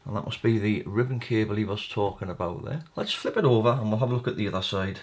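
A man's voice: lowish voice, fast, slight background noise. A man hosting a repair video, casually narrating his hands-on work at a bench, thinking aloud in a tentative way. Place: indoor workbench.

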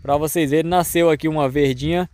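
A Brazilian man with a fairly high voice narrates a casual farm walk-through. He talks to his viewers as a farmer and host. The phone recording is outdoors and dry, without echo. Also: fairly even intonation, a little fast, darkish tone. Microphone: handheld phone.

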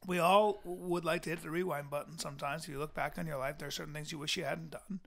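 Brief: male podcast co-host, gravelly and higher-pitched, goofy teasing banter. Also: steady tone, quick pace, fairly bright tone. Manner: goofy, needling, jokey. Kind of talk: comedic banter.

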